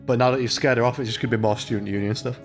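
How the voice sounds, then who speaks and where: A man's voice: medium pitch, fairly even intonation, racing. A man giving casual, joking game commentary and reading dialogue aloud, sweary and mocking. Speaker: host of a comedy let's play. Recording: music behind the voice. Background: music.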